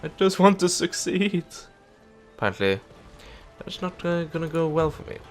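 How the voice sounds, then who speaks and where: A man's voice: a little high, very animated, normal speed, faint music behind. A young man with a stuffy nose and nasal voice, chatting casually while commenting on a strategy game. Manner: chatty, muttering to the game, mild swearing. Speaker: gameplay commentator. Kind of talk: let's play commentary.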